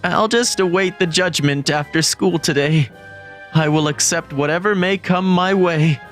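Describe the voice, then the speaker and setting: A man plays an introverted teenage schoolboy narrating a manga romance. He is gloomy, lovestruck and quick to panic, putting himself down with dramatic flair. Music plays underneath. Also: fairly high pitch, a bit bright. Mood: resigned. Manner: self-deprecating, dramatic. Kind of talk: first-person manga story narration.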